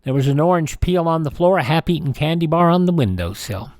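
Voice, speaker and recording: Higher-pitched American man reading news. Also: expressive, brisk, a little mellow, dry recording, studio quality. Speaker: radio show host reading old newspaper stories. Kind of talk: scripted news narration.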